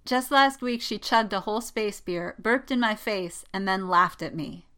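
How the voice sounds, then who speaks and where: A woman's voice: mid-range voice, normal speed, pushed and bright, natural voice. A woman reading the part of a teasing, gleeful male pilot in a story, sardonic and needling. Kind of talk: dramatic fan fiction reading.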